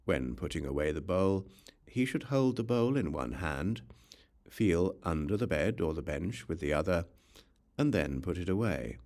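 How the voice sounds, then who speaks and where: A man narrating a scripture audiobook in a measured, instructional manner. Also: deep voice, expressive, a little mellow. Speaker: audiobook reader.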